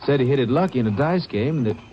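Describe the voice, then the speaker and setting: A man's voice: medium pitch, animated, racing, muffled recording, slight background noise, close to an everyday voice. An actor playing an easygoing sawmill foreman in an old radio drama, with a folksy drawl. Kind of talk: 1950s radio drama acting.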